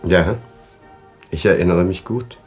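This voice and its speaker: An actor playing an earnest, educated doctor in a 1960s radio drama. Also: male voice, low voice, unhurried, slightly rough, faint music behind, heavily acted. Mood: earnest, insistent.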